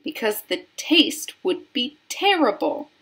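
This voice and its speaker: A woman voicing an excitable, breezy male chocolate factory owner in a storybook, emphatic and dismissive of worries. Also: on the high side, slow, voice pushed up, heavily acted. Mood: emphatic, amused.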